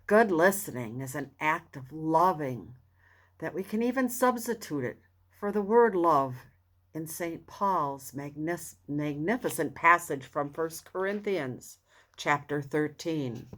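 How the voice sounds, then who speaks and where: Slow, low-voiced woman reading aloud. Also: lively intonation. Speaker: audiobook reader. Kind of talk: expressive scripted reading of a self-help book.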